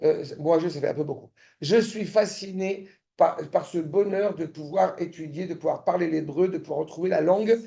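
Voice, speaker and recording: A man teaching a Torah study class, halting and repeating words, often checking that listeners follow, sometimes insistent. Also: fairly high pitch, vocal fry, warm tone. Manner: turns insistent and indignant.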